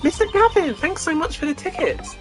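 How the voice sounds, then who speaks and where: A woman voicing an excitable, starry-eyed teenage girl music fan in a video game, gushing and exclaiming. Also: very high-pitched, fairly quick, voice pushed up. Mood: excited.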